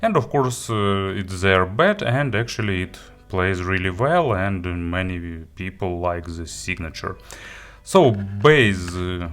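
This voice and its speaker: Low-voiced man reviewing audio gear. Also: fairly bright tone. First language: not English. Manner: halting, often searching for words and rephrasing. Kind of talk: unscripted product review talk. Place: indoor studio.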